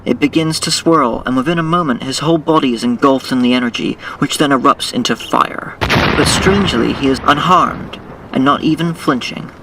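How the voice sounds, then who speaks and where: A man acting as narrator of a superhero audio drama, reading scripted scenes in a deliberate, dramatic way. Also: medium pitch, distant mic, noisy background, lightly acted.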